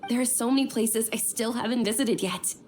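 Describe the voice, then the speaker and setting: A woman playing a caring, insistent, well-bred young lady in a video game, earnest and expressive in her delivery. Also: on the high side, a little fast, slight background noise, close to an everyday voice. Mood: pleading, miffed.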